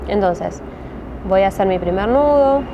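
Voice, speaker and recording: A woman's voice: medium pitch, lively intonation, measured pace, highs cut off, background noise. A woman with a Rioplatense Spanish accent teaching a step-by-step craft tutorial, patient and checking in often with her listeners. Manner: patient, with frequent "¿sí?" check-ins and many hesitations.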